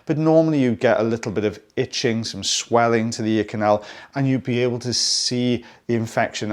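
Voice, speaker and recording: Friendly Welsh man explaining a procedure. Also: medium pitch. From South Wales. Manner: friendly, explanatory, with asides to viewers. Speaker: audiologist presenting ear wax removal. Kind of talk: narrated procedure commentary.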